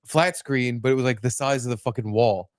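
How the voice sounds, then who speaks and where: A man's voice: mid-range voice, brisk, dry recording, clean studio sound. A man hosting a podcast, chatting casually and comedically, telling profane stories with acted-out exclamations. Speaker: podcast host.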